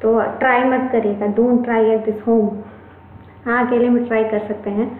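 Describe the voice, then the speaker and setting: A woman's voice: fairly high pitch, level tone, unhurried. A woman with an Indian accent giving a chatty online English lecture, repeating key points to reassure her students. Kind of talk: Hindi-English mixed classroom lecture. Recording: echoey room, tinny phone-like sound, faint noise.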